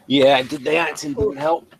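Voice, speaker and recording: A man hosting a casual chat, briskly prompting his co-host along. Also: medium pitch, steady tone, creaky, a little hiss or hum, poor audio.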